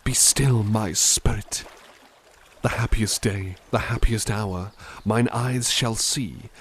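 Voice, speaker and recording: A man voicing a kind-hearted, caring butler, reading poems softly and musing gently between them in a close, intimate roleplay. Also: medium pitch, slight background noise. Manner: soft-spoken, gentle, reflective asides. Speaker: ASMR roleplay performer. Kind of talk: ASMR roleplay poetry reading.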